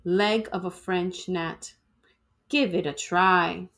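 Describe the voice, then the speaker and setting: A woman reading a children's storybook aloud in an expressive, dramatic way, like a teacher to students. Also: fairly low pitch, slow.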